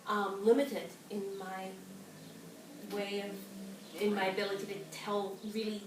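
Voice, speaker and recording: A woman's voice: medium pitch, little pitch movement, measured pace. Woman singer chatting in a roomy space. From Canadian Maritimes. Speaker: singer and actor, interview guest. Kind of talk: casual conversation. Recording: roomy echo, distant mic, faint noise.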